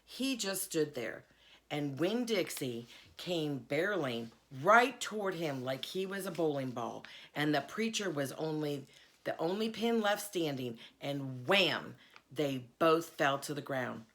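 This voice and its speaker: Slow, low-voiced woman reading a story. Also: animated, a bit bright. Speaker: reader, school board member. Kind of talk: children's novel read-aloud.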